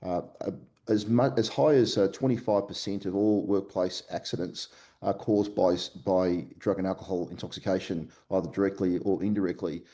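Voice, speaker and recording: A man with an Australian accent, a company CEO answering podcast interview questions remotely, halting often with fillers and restarts. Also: low voice, fairly even intonation, mellow. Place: remote call from Melbourne.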